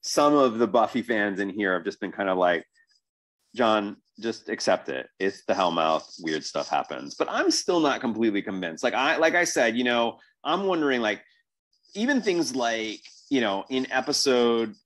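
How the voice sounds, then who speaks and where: A man in a casual podcast discussion, thinking aloud in a halting, wordy, questioning way. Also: lowish voice, very animated, fairly bright tone. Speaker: podcast host.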